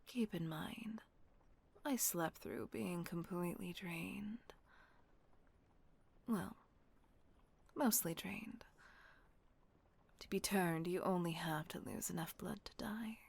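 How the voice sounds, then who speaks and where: A woman voicing a gentle, protective female vampire caretaker in a whispered audio roleplay, soothing and coaxing. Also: lowish voice, slow pace, pauses often, full character voice. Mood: tender whisper. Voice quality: soft breathy whisper.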